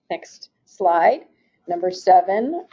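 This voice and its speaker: Gravelly woman presenting a webinar. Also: on the low side. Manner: steady, formal slide-by-slide delivery with occasional restarts. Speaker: state agency program presenter. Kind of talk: reading slides in a webinar presentation. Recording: muffled, dull webinar audio.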